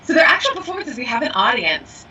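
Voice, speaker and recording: A woman's voice: a little high, sing-song, fast talker, sharp tone, far from the mic, highs cut off, a little hiss or hum. A woman co-hosting a podcast, a voiceover actor and acting coach who chats and laughs often. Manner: laughs often, bubbly and chatty. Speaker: podcast co-host. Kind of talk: casual chat.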